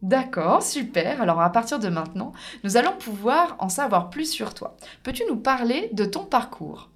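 A woman hosting a business podcast, who opens with a measured scripted welcome and then asks interview questions. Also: on the high side, sing-song. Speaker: podcast host.

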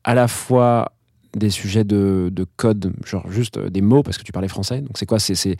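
A man hosting a podcast interview, asking questions in a quick, casual way and reacting with short interjections. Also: on the low side, creaky, a little mellow, no room sound, clean studio sound. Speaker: podcast host.

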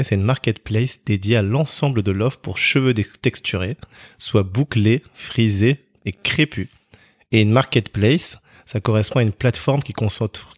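A man's voice: fairly low pitch, no echo, clean studio sound. A man hosting an interview podcast, reading a scripted opening and then asking short, affirming follow-up questions. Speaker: podcast host.

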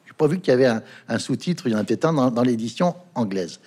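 A middle-aged male interviewer with a husky, grainy voice at an ordinary pitch. He introduces and questions his guest formally, though his phrasing stumbles over fillers and repeated words. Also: lively intonation, fast, a little mellow.

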